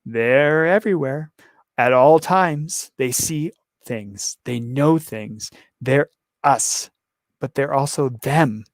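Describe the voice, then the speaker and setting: A hoarse man reading a calm, gleeful male serial killer in custody, slow, low and matter-of-fact. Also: dry recording. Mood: cryptic.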